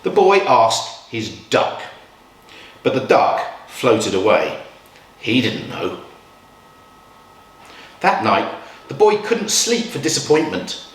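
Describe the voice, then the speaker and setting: A man reading a picture book aloud to children in a measured, expressive storytelling style with dramatic pauses. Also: medium pitch. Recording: roomy echo, distant from mic.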